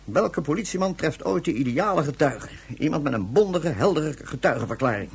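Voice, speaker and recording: A man's voice: fairly high pitch, animated, fairly quick, creaky, husky, exaggerated voice. A man playing a fussy, grumbling moon police officer in a science-fiction radio play, official and repetitive in manner. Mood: fretful, complaining.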